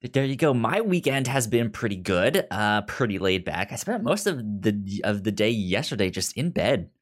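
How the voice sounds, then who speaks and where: A man's voice: lowish voice, big pitch swings, moderate pace. A man hosting a casual TV review podcast, who often stammers and repeats the first sounds of words. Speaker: podcast host.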